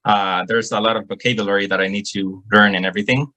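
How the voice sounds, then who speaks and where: A man's voice: fairly low pitch, fairly even intonation, fast talker, pushed and bright. A young man from El Salvador with a Salvadoran Spanish accent, earnestly answering questions on a video call and often repeating words as he searches for phrasing. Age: young adult. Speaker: guest student.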